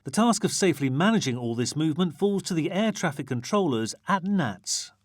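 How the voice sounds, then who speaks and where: A man delivers a scripted news narration. His voice sits a little high for a man and is recorded very dry, with hardly any room sound. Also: animated, fairly quick, no echo. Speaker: reporter and narrator.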